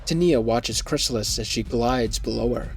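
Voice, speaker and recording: A man's voice: medium pitch, moderate pace, soft background music. A man reading scripted scene descriptions as the narrator of an audio drama.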